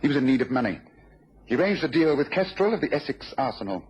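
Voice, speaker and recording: An actor playing a shrewd, commanding British detective in a mid-century radio drama, speaking precisely and briskly. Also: male voice, medium pitch, big pitch swings, darkish tone, slightly rough. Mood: satisfied, explanatory. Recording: muffled, noisy old radio recording.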